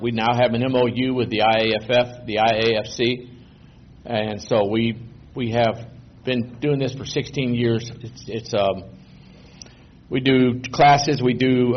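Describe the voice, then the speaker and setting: A man, giving a relaxed, rambling presentation to a committee. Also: medium pitch, a little hiss or hum. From Texas. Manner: relaxed, rambling, self-correcting. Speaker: guest presenter. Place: meeting room. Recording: dull lo-fi public meeting recording.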